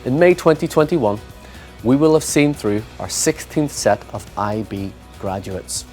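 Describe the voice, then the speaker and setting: A man's voice: mid-range voice, lively intonation, mellow. A man giving formal, scripted narration for a school promotional video. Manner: formal, measured reading. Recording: music bed underneath.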